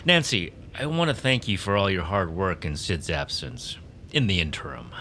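A man playing a smug, accusing corporate boss in an audio drama, speaking in a measured, insinuating and condescending way. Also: low-pitched, expressive, pushed and bright, a little hiss or hum. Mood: smooth, formal. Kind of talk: audio fiction acting. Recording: music bed behind the voice.

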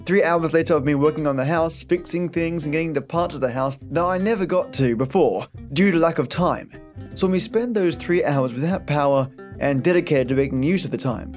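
A man's voice: on the high side, a little fast. A man hosting a podcast, giving a chatty scripted introduction in a wry, friendly manner. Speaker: podcast host. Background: plucked guitar music.

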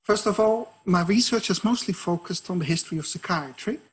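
A man's voice: high-pitched, a little fast, breathy edge. A middle-aged man from the Netherlands with a Dutch accent, a professor answering interview questions in a thoughtful, explanatory way. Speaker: professor and researcher.

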